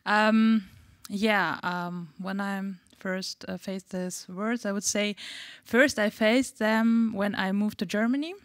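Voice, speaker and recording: A woman from Uzbekistan whose first language is Russian, speaking on a panel. She talks slowly and haltingly, often searching for the next English word. The sound is dry and close. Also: medium pitch, fairly even intonation, no room sound. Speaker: artist panelist. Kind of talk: panel talk.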